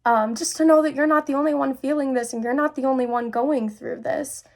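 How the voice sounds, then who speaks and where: Young woman with a higher, creaky voice. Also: steady tone, a little fast. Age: young adult. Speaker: host, student sharing personal experiences. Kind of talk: personal talk to camera about mental health.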